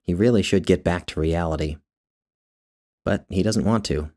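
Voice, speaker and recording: A man narrating his own literary short story in a measured, deliberate way, drawing out phrases. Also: sits low, a little mellow.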